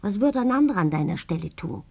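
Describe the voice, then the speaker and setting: An actress playing an anxious, bitter wife who pleads and reproaches urgently in a German radio play. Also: female voice, medium pitch, very animated, fast, vocal fry, darkish tone. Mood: urging, bitter.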